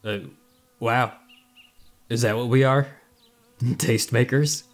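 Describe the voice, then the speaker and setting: A man's voice: mid-range voice, fairly even intonation, takes it slowly, lightly acted. An actor playing an eccentric, boastful inventor in his thirties, glib and theatrical, in an audio drama. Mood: wry, needling. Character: eccentric thirty-five-year-old male inventor, mad scientist, boastful.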